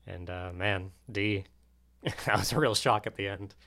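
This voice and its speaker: A man's voice: on the low side, steady tone, a little slow, creaky, sharp tone. A man chatting casually as a podcast host. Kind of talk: casual chat.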